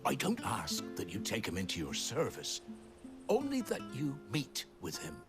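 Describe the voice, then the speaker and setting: A man's voice: lowish voice, huge pitch swings, moderate pace. An actor playing an earnest, deferential man in a game cutscene, asking respectfully. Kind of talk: video game cutscene acting. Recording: music behind the voice.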